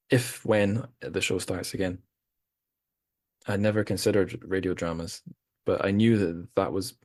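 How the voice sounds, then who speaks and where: A man with a very low voice hosts a podcast in relaxed conversation. He rambles and pokes fun at himself, restarting his sentences often. The sound is dry and close, with no room echo. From Scotland. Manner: rambling, self-deprecating, many restarts. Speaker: podcast host. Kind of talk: casual chat.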